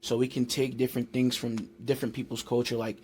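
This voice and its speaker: A man's voice: mid-range voice, level tone, fast, darkish tone, slight background noise. A man co-hosting a casual podcast, affirming the guest and voicing frequent agreement. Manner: affirming, lots of backchannel agreement. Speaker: podcast co-host.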